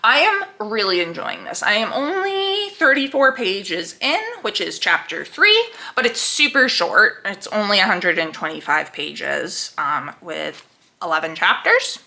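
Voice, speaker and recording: A young woman talking casually to camera about what she is reading, chatty and quick to laugh, acting out her own reactions. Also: mid-range voice, very animated, gravelly, bright, forceful tone. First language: English. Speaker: book vlogger.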